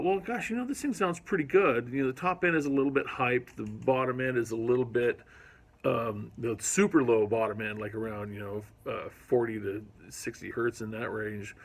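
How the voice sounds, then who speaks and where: A man's voice: mid-range voice, creaky, fairly bright tone. A man casually reviewing studio gear in his recording studio, rambling and hesitant with lots of ums, recorded on a phone. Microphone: iPhone camera mic.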